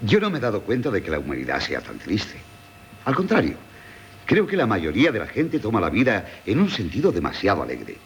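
Casting: gently ironic gentleman tutor, low Castilian voice with wide melodic swings, courteous old radio-play delivery. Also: huge pitch swings, slight background noise. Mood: wry, philosophical. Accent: Castilian Spanish. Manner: measured, polite, theatrical. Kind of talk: 1970s Spanish radio drama acting.

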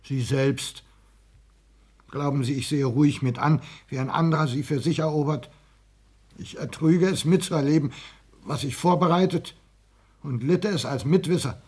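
An actor playing a frail, exalted, lovesick barber in a 1960s German radio play, aggrieved and prone to passion. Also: male voice, a little high, moderate pace, long pauses, a little mellow, slightly rough. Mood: impassioned, hurt. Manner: aggrieved, brooding, flares into passion.

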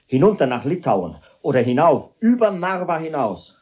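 An actor playing a brooding male private tutor who tells of revolution with passion, in a literary radio drama, his voice husky. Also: on the high side, big pitch swings, normal speed, a little mellow. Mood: wry.

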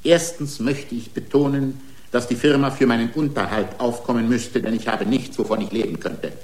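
A man's voice: medium pitch, normal speed, a little mellow, natural voice. An actor playing an indignant, argumentative racing driver who is part man, part machine, pleading his own case in a German radio play. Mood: aggrieved. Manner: argumentative, rhetorical, aggrieved. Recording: roomy studio courtroom sound.

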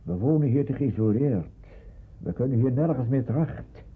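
A man's voice: mid-range voice, animated, normal speed. An actor playing a stern, worried middle-aged father in a radio drama, speaking firmly and emphatically. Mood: worried, persuasive. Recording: muffled, husky phone-like old radio recording.

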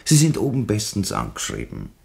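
An actor playing a patronising, oily government official in a radio play, gravelly, with an Austrian accent and an unctuous, cajoling manner. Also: male voice, fairly low pitch, wildly swooping pitch, a little fast, darkish tone, full character voice. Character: smooth-talking, patronising male government official, insistent and oily. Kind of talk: German-language radio play dialogue.

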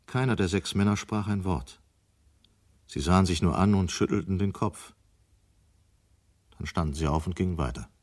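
A man narrating a 1970s German radio play in a grave, deliberate, dramatic manner. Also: deep voice, darkish tone. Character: grave male storyteller of a city's destruction.

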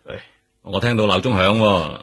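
Gruff old-hand cop in a radio play, really low voice, wry and slangy, hong kong cantonese accent, bit of noise behind. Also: male voice, normal speed, fairly bright tone. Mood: wry, offhand. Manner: offhand, teasing, slangy, sighing. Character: gruff, wry veteran male police colleague. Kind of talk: Cantonese radio drama dialogue.

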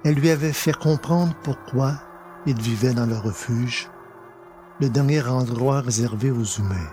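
A man narrating a scripted story in a measured, dramatic manner, with pauses for effect. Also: medium pitch, dark tone. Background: music.

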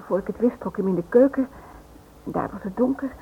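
An actress playing a shaken but strong-willed wife and mother in a radio drama, sharp-tongued and reproachful. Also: mid-range voice, fairly quick, gravelly, close to an everyday voice. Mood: shaken, weary. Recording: noisy, tinny old radio recording.

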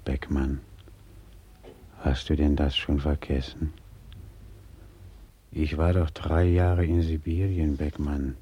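An actor playing a drowned man's reproachful ghost in a 1940s radio drama, speaking deliberately and quietly accusing. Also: lo-fi sound, heavily acted. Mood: slow accusation. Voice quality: very deep, husky, breathy at times. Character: drowned one-legged man, a slow reproachful ghost. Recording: old 1940s radio recording.

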